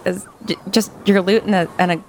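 A woman plays a tabletop game, narrating and speaking in character in a casual, improvised way. She is halting and self-amused, at a middling pitch. There is faint noise in the background. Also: steady tone, darkish tone.

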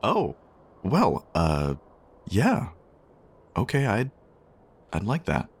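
An actor playing a shy, smitten diner customer in a romance audio drama, speaking in a hesitant, bashful way. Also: male voice, on the low side, huge pitch swings, drawn-out words, vocal fry, slightly rough, full character voice.

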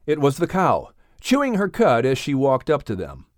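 A man narrating a children's animal story as an audiobook reader, in an expressive scripted style. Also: mid-range voice, moderate pace, no echo, clean studio sound.

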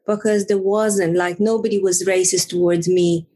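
A woman's voice: medium pitch, steady tone, moderate pace, warm tone, slightly rough. A middle-aged woman from Romania with a Romanian accent, talking as a podcast guest in an earnest, thoughtful way with frequent restarts. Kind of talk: reflective conversation.

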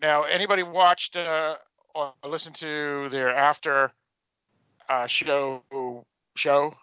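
A man with an American accent hosting a casual discussion podcast about a TV show, speaking in a rambling, halting way with repeated words. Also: fairly high pitch, flat delivery, clean tone, no room sound, highs cut off. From Massachusetts, United States. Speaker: podcast host.

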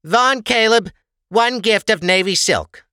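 An unruffled, officious woman clerk from a dystopian audiobook, acted by a man. Her voice is pitched high and bright, dealing out rations with an unvarying businesslike manner. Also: slow pace, clean tone, no echo, studio quality, heavily acted. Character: unruffled female official rationing gifts at a market.

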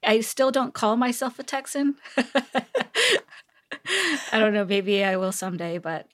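A woman's voice: medium pitch, a little slow. A middle-aged woman from Seoul speaking conversationally as a guest in an interview, given to laughing. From Seoul, South Korea. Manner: laughs often. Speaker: podcast guest, technology executive.

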